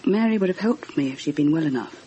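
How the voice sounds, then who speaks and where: A woman's voice: low voice, animated, quick pace, dark tone, lo-fi sound, a little hiss or hum. An actress playing a lonely, depressed woman writer of thirty-two, subdued and reflective, in a radio drama with narration. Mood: sad, weary. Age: 30s. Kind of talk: radio drama acting and first-person narration.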